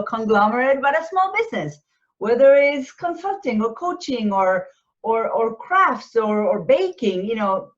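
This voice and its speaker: Argentine-born woman, dull lo-fi sound. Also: a little high. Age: 40s. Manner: explanatory, frequent rhetorical tags like "right?". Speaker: panelist, business coach. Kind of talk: conversational interview answers.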